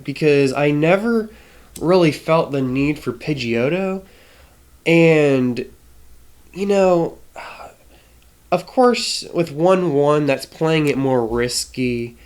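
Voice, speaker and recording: A man with a nasal, stuffy voice from a cold, casually explaining a card game deck with many hesitations. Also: medium pitch. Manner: hesitant, frequent ums and restarts. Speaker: trading card game content creator.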